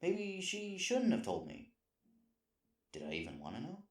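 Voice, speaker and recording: A man's voice: fairly high pitch, huge pitch swings, a little slow. A man playing a sweet, flustered, self-deprecating boyfriend in an intimate roleplay audio, hesitant and rambling as he corrects himself. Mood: anxious self-talk.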